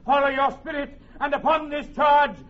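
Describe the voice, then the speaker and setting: Heroic, high-ringing warrior king. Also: fairly even intonation, very noisy. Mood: rousing, exhorting. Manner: declamatory, rousing, drawn-out emphatic phrases. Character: heroic, commanding young warrior king of England. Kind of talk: Shakespearean radio drama acting. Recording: muffled old radio recording.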